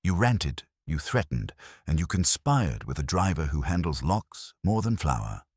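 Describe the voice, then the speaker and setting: A man narrating plays a stern detective genius with an extremely low, husky voice. He speaks pompously and formally, laying out accusations with lively swings of pitch in an audiobook mystery. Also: a little slow, no room sound, studio quality, heavily acted. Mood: accusing, commanding. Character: stern, imperious male detective genius passing judgment.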